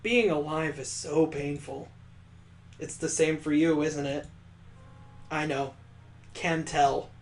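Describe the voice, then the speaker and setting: A man playing a frail, dying young woman from a visual novel, teasing and full of longing, speaking softly and intimately. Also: fairly high pitch.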